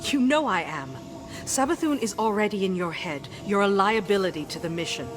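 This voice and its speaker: A woman's voice: mid-range voice, animated, fairly quick, lightly acted. A woman playing a stern, unyielding military commander in a game, speaking in curt, firm lines. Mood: stern, hostile. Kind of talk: dramatic game dialogue.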